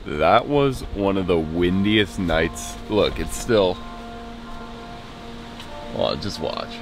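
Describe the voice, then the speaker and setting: A man's voice: low voice, slow pace, over music. A young man in his twenties talking casually to a handheld camera while camping and hiking outdoors. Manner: relaxed, chatty, upbeat about nature. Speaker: camping vlogger. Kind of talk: casual vlog narration. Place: outdoors at a campsite, meadow and in a tent. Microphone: handheld camera at arm's length.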